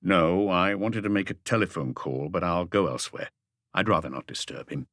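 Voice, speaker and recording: Man acting a calm, deep-voiced police chief inspector. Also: moderate pace, no room sound, studio quality. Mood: quietly courteous.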